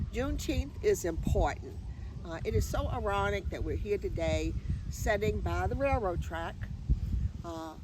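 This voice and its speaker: An older American woman introducing herself conversationally as an interview guest, recorded outdoors. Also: on the low side. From Orange County, United States. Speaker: interview guest, community organizer and retired educator. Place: outdoors by a railroad track. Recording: noisy outdoor recording with vehicle sounds.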